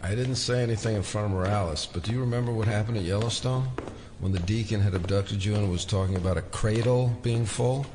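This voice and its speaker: A man's voice: fairly low pitch, quick pace, lightly acted. An actor in an audio drama playing a wry, inquisitive, stubborn male federal agent with a casual, understated delivery. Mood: intent, probing. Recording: noisy background with clicks.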